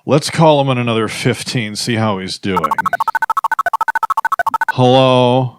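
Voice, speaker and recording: A man hosting an improvised comedy radio show in a deadpan, halting manner with formal wording. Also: medium pitch, loud music behind the voice.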